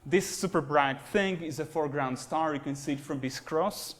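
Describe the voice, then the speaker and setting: A young Slovak man with a fairly high voice lecturing in a classroom. He talks in an easygoing, self-deprecating way, filling pauses with "uh" and "um" while pointing at slides. The sound comes from a camera at the back of the room. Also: fairly bright tone. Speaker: guest lecturer, astronomy postdoc. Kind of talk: university lecture. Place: classroom with projector and computers.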